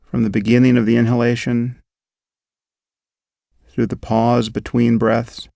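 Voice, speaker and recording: Man with a gravelly voice guiding meditation. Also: medium pitch, dry recording. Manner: slow, calm, instructive. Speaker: meditation guide. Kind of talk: guided meditation.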